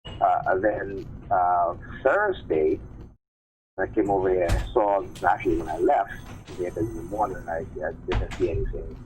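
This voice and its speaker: A man's voice: low voice, normal speed, darkish tone. A man talking casually as a live stream host, insistent, often posing questions and checking in with short tags. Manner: insistent, rhetorical questions and repeated check tags like "right?" and "okay?". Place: remote call-in on a live stream. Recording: muffled phone-line audio with clear noise.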